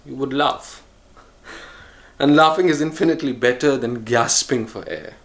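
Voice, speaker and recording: A man vlogging a reflective solo monologue, musing and earnest, with frequent pauses and restarts. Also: mid-range voice. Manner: earnest, speaks of choosing calm over harshness.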